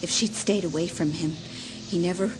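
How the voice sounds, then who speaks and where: A woman's voice: fairly low pitch, fairly even intonation, normal speed, noisy background, close to an everyday voice. An actress playing an eerie, childlike, lovesick teenage girl who has turned murderous, rambling and pleading in an audiobook horror drama. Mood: eerie confessing.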